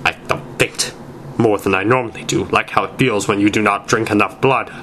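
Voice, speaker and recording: A man's voice: fairly low pitch, steady tone, fairly quick, a bit bright, slight background noise. A man narrating fan fiction aloud in a theatrical reading style.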